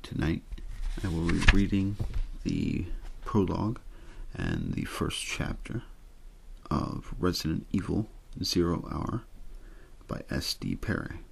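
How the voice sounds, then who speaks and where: A man softly reading a story in ASMR style, unhurried and untheatrical, with a husky, gravelly voice. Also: deep voice, wildly swooping pitch, slight background noise. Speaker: host and reader of an audio ASMR show.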